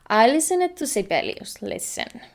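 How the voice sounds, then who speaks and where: A woman's voice: fairly high pitch, sing-song, normal speed, gravelly. A young woman from Finland with a Finnish accent, chatting casually in a vlog and laughing often. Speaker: vlogger.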